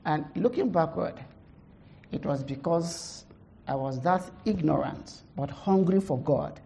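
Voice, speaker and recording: A middle-aged woman pastor with a heavy Nigerian accent gives a conference testimony, halting, with many fillers, laughing at herself. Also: sits low, creaky, dark tone.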